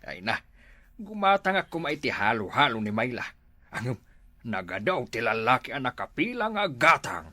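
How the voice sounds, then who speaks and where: A man's voice: medium pitch, sing-song, moderate pace, pushed and bright. A man playing a gossipy, meddling older neighbor in an Ilocano village comedy drama, full of exclamations. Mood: gossiping.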